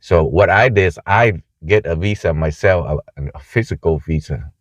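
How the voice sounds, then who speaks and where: Low-voiced Vietnamese-accented man, halting delivery. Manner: halting, informal explaining. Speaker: travel vlogger. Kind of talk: casual talking-head video. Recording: muffled, echoey room sound.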